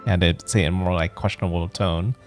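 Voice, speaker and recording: A man's voice: sits low, steady tone, quick pace, faint music behind. A man giving casual, rambling let's-play commentary as a game streamer, musing aloud.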